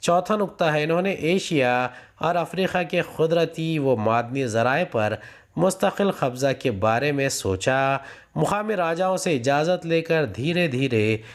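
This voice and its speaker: Higher-pitched man teaching. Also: a little slow. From India. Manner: methodical, announces each numbered point. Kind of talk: scripted lesson, reading questions and answers aloud.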